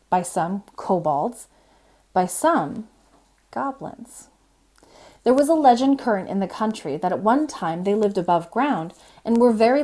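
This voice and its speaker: A woman reading a storybook aloud as a librarian host, in an expressive, measured storytelling manner. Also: mid-range voice. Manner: expressive, measured storytelling with brief informal asides.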